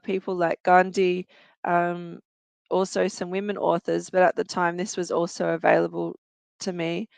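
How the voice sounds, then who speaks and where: A woman's voice: fairly low pitch, vocal fry, clear, resonant tone, no echo. A woman in her forties with an Australian accent, telling her personal story in an earnest, reflective way with occasional fillers. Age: 40s. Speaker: guest, youth worker and researcher. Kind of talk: personal storytelling in a talk show.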